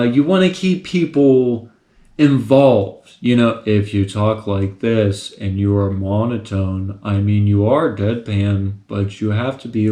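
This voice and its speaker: A man giving a casual how-to lesson on stand-up comedy, talking with lots of personality and big swings in pitch. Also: roomy sound. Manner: animated, swings pitch widely for effect. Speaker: comedy teacher.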